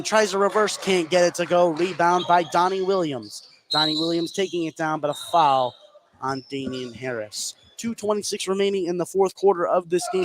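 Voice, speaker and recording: An American man calls a basketball game live for radio. His voice sits a little high, and he follows the play in short, excitable phrases. The live broadcast has clear background noise from the gym. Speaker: play-by-play commentator. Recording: noisy live sports broadcast.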